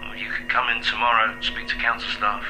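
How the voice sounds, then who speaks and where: Laconic police sergeant on noisy phone. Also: male voice, lowish voice, monotone, fast talker. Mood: bored, dismissive. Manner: laconic, indifferent. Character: unhelpful, flat police desk sergeant. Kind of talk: radio drama acting.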